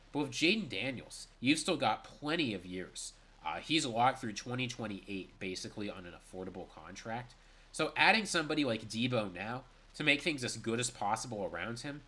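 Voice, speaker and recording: A man's voice: medium pitch, pushed and bright, breathy edge. A young man with a stuffy, congested voice, giving a solo reaction and analysis of sports news. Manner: brisk and analytical, with emphatic stress.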